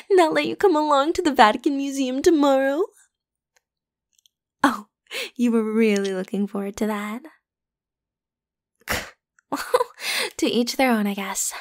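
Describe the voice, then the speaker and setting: Woman acting as a high-voiced teasing popular schoolgirl. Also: steady tone, a little slow, pauses often. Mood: teasing, coaxing. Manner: playful, teasing, casual youthful phrasing with giggles. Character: popular teenage schoolgirl, teasing, breezy and pushy. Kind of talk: ASMR roleplay, one-sided conversational acting.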